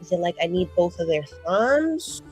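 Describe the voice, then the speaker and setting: A woman playing a tabletop roleplaying game, chatting casually in slangy, excitable, improvised speech with some swearing. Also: lowish voice, normal speed, soft background music.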